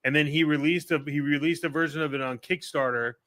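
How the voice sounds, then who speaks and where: Male co-host, slightly high and bright-edged voice, blunt, informal, sweary podcast chat. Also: fairly even intonation, quick pace.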